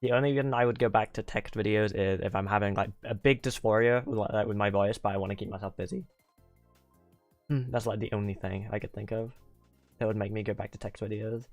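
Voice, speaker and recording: A young streamer with a fairly low voice chats loosely with his viewers, filling his sentences with "like" and stops and starts. His mic sounds close and dry in a home setup. Faint game music plays quietly underneath. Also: steady tone, fairly quick, dry recording. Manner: rambling, full of "like" and restarts; venting, exasperated. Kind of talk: casual livestream chat with viewers.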